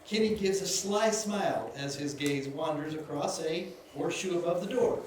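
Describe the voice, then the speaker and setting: A man's voice: on the high side, normal speed. A man reading scripted stage directions as narrator at a live table read. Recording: very echoey, distant, noisy stage recording.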